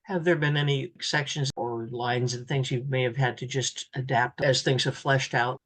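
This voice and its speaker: A woman with a husky voice hosting a conversational classical radio interview. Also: extremely low pitch, fairly even intonation, normal speed.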